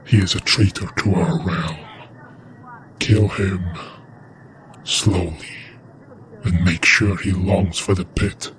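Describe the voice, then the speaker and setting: A man voicing a deep, slow, cruel lord. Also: steady tone, a bit bright, a little hiss or hum. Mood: cold, menacing. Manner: slow, commanding, menacing. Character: cold, cruel male lord of an invading realm. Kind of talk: audio drama acting.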